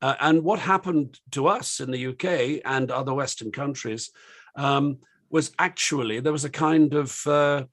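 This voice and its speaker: A British man, slightly croaky, hosting an online panel discussion and talking in a hesitant, rambling way full of ums and restarts. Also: medium pitch, measured pace, a bit bright.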